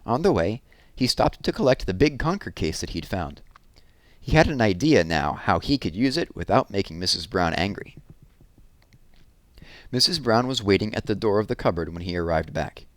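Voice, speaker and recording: A man with a fairly low voice reads a children's story aloud, his pitch rising and falling a lot. He tells it gently and expressively, and the recording is dry and close. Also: expressive, moderate pace, no echo.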